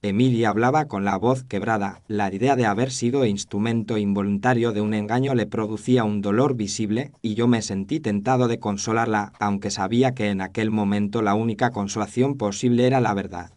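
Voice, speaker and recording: A man's voice: lowish voice, fairly even intonation, brisk, few pauses, darkish tone, close to an everyday voice. A man playing an earnest chronicler, narrating a Victorian mystery in a literary style. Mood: grave, reflective.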